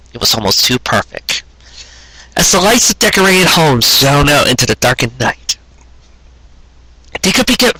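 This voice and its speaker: A man reading a sentimental story aloud in a measured, scripted narrating manner. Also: mid-range voice, pushed and bright, breathy edge, dry recording, rough recording. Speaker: audiobook reader of fan fiction.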